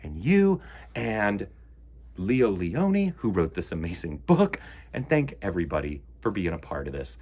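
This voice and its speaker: A man hosting storytime for children, reading and chatting expressively with dramatic pauses and a husky voice. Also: low-pitched, sing-song, a little mellow.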